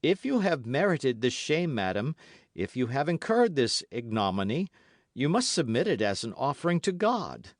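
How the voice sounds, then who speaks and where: A man plays a grave young officer, devout and unbending, whose words come serious and measured. The delivery is lively in pitch and close and dry. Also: no echo, lightly acted.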